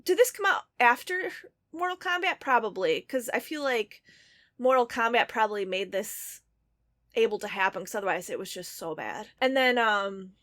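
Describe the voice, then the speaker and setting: A woman co-hosting a podcast, recapping films in an animated, rambling way with lots of "like". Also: on the high side, moderate pace. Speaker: podcast co-host. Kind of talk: casual chat.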